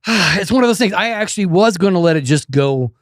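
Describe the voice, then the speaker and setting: A man's voice: sits high, lively intonation, a little fast, dry recording, studio quality. Joking fifty-something man co-host. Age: 50s. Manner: joking, self-mocking. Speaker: podcast co-host. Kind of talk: casual podcast chat.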